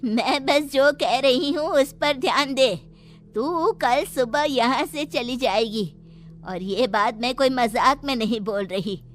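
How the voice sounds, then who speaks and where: A woman's voice: high voice, slow, faint music behind. A woman playing a stern, protective old village grandmother in a cartoon story, firm and admonishing.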